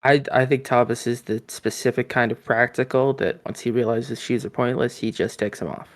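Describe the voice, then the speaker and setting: A man's voice: mid-range voice, fairly even intonation, moderate pace. A man playing a tabletop game, casually describing what his character does.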